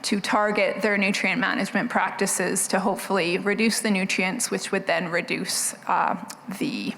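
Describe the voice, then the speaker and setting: A quiet-voiced woman in her forties presents at a podium microphone in a conference room. She talks in an easy, conversational way, pausing on ums and restarting phrases. The hall adds a long reverb and a faint hum of room noise. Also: medium pitch, fairly even intonation, vocal fry. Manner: soft-spoken, conversational, frequent ums and restarts. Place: conference meeting room, indoors. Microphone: podium mic on a stand.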